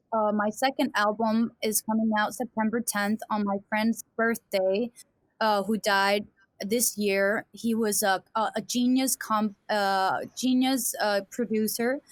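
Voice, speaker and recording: A woman's voice: mid-range voice, steady tone, unhurried, no echo. A woman from Denmark with a Danish accent, a musician guest on a podcast, talking casually with lots of fillers and restarts. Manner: rambling with many fillers and restarts. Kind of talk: casual chat.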